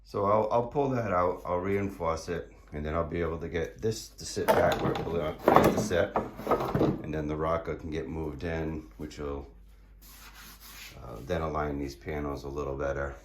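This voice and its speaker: Man, very low voice, hoarse, halting fillers, garage workshop, faint noise. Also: fairly even intonation. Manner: halting, explanatory, many fillers and restarts. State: hoarse, losing his voice. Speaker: car builder showing his project. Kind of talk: casual workshop walkthrough.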